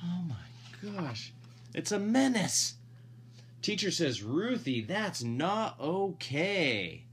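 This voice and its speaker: A man's voice: a little high, very animated, drawn-out words, breathy edge. A dad reading a picture book aloud expressively, drawing out emphasized words and adding playful, casual asides to his listeners. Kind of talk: animated storybook read-aloud with casual asides.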